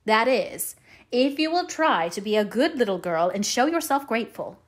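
A woman voicing a stern, practical older female guardian in an audiobook, brisk and matter-of-fact. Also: on the high side, big pitch swings. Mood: measured, practical. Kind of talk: audiobook character voice.

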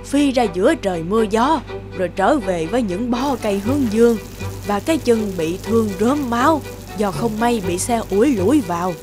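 Woman acting devoted grandmother, animated storyteller, measured, tender, slightly high pitch. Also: music in the background, natural voice. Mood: gentle, nostalgic. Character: gentle, devoted grandmother telling a family tale.

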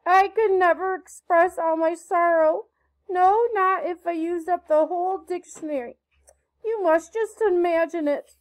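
A very high-voiced orphan girl, played by a woman reading aloud, straight out of a storybook. She is imaginative and theatrically penitent, pouring out her pleas in an effusive, melodramatic way. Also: unhurried, low-quality recording. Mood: theatrical pleading.

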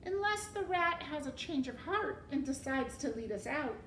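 A woman voicing a gentle young princess with kindly, polite speech in a storybook reading. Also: sits high, very animated, slow, reverberant, recorded from a distance, a little hiss or hum, pitched above the performer's own voice, exaggerated voice. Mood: pleading, calling.

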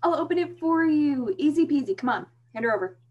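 A woman's voice: sits high, expressive, fairly quick, dull audio. A young woman with an American accent playing a pushy, teasing teenage best friend in a play reading, blunt and sardonic. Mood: impatient, coaxing. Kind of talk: virtual staged play reading. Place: small room, indoors. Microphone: computer video call.

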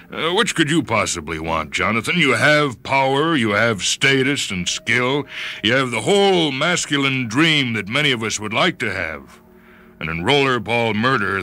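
Radio drama: male reader as wealthy aging executive, probing and persuasive, lifted pitch. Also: pushed and bright, heavily acted. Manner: probing, rhetorical, patronizing. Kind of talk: radio short-story dramatization.

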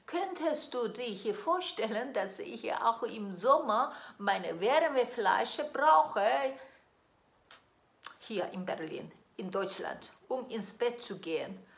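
Slow Korean-accented woman, echoey room. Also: a little high, very animated, vocal fry. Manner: lilting, questions the listener directly. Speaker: classical singer presenting a song. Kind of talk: slow storytelling to the viewer. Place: indoor studio in front of green screen. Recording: echoey room with faint music.

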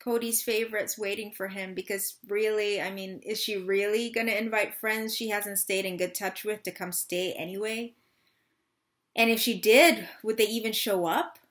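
A woman's voice: mid-range voice, steady tone, normal speed, fairly bright tone, close to an everyday voice. A woman in her thirties playing a wistful, restless mother in a dramatic third-person monologue reading. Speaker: actor in a staged reading. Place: small room at home.

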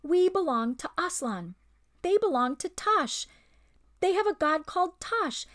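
A woman voicing a very young, timid male lamb who speaks softly in a high, pleading voice. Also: expressive, measured pace, dry recording, studio quality, exaggerated voice. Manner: high, soft, pleading, near whisper.

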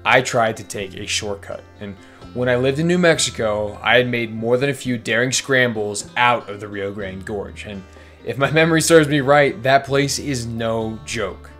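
A man's voice: medium pitch, fairly bright tone. A young man narrating a vlog in voice-over, candid and self-deprecating as he looks back on his mistakes. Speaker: fishing vlogger.

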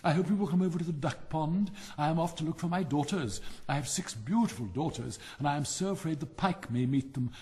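A man's voice: on the high side, fairly quick, hardly any pauses, a little mellow, natural voice. A man reading aloud and voicing a boastful, endlessly chatty mother frog who gushes and laughs as she talks. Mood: gushing. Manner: gushing, chattering, laughing between phrases.

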